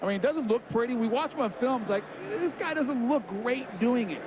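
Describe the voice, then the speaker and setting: Chatty high-voiced male football analyst. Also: expressive, a little fast. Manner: conversational, repeats words, says "I mean" often. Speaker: color commentator. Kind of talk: sports analysis. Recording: broadcast booth over loud crowd noise.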